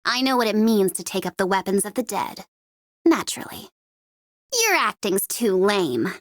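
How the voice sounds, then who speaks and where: A woman's voice: medium pitch, normal speed, no room sound, clean studio sound, lightly acted. A woman playing a cocky young mercenary in a video game, with a mocking, playful and sardonic manner. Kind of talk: video game character voice lines.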